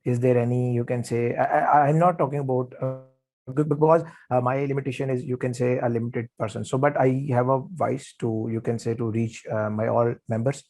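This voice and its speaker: A man, an Urdu speaker, asking halting questions on a video call. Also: medium pitch, steady tone, darkish tone. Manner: halting, keeps inserting "you can say". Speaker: webinar participant asking questions.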